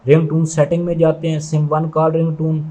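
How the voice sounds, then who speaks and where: A man's voice: on the high side, completely flat delivery, fairly quick, dark tone, a little hiss or hum. A man giving product review commentary, explaining methodically and repetitively, step by step.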